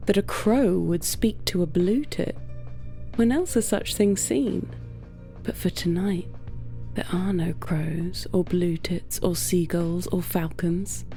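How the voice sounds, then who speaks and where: A woman narrating a scripted literary story in a measured, hushed way, with dramatic pauses. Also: lowish voice.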